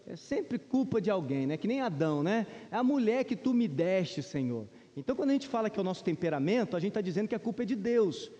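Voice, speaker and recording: Man, Brazilian accent, soft mellow tone, conversational Bible teaching, question tags, long hall reverb. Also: high voice. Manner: informal, asks the audience questions and checks in with rhetorical tags. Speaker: Sunday school teacher at a church. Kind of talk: conversational Bible lesson to a congregation. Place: large church room, speaking to a congregation.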